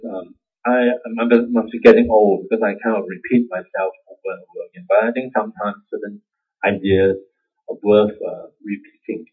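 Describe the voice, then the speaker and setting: A man's voice: fairly low pitch, slightly rough. A man with a Singaporean accent giving an informal Buddhist dharma talk, conversational and self-deprecating, chuckling often. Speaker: Buddhist teacher. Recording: dull lo-fi recording.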